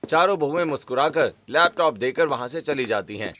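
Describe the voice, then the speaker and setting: A man's voice: mid-range voice, expressive, a little fast, a bit bright. A man narrating a scripted cartoon story as its storyteller. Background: background music.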